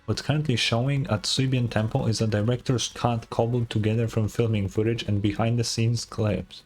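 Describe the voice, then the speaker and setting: A man's voice: mid-range voice, steady tone, normal speed, darkish tone. A man streaming a game, casually reacting and reading on-screen text aloud in an offhand, unimpressed way.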